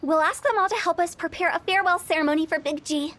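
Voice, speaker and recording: A woman's voice: extremely high pitch, fast, a bit bright. An actress playing a mischievous, self-assured young woman who runs a funeral parlor, teasing and giggly. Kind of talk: video game voice acting.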